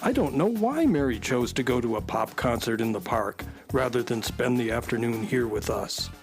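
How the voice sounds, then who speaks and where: A man's voice: mid-range voice, lively intonation, moderate pace, gravelly, over music. A man playing an earnest, stuffy collector in a children's radio drama, speaking in a formal, wordy, pedantic way. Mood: earnest, appreciative. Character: Briscoe, earnest stuffy male collector, fond of dull things.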